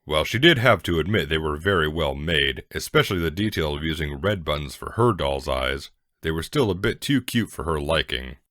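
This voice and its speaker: A man with an extremely deep voice narrates a story from a script. The recording is dry and close, with little room sound. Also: expressive, normal speed, hardly any pauses, fairly bright tone, no echo.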